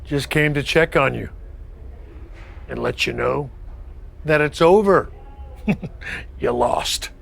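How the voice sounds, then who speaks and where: A man playing a smug wrestling faction leader in a video game, taunting with a gravelly, theatrical delivery. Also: medium pitch, fairly bright tone, in a large hall, full character voice. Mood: mocking, taunting. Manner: slow, gloating, theatrical delivery.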